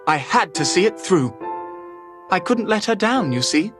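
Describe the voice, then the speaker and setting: An actor playing an earnest, heartbroken young man in an animated mystery game, speaking haltingly over music. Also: sits high. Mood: sorrowful, resolute. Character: earnest, heartbroken young man sworn to avenge his family. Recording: clear music behind the voice.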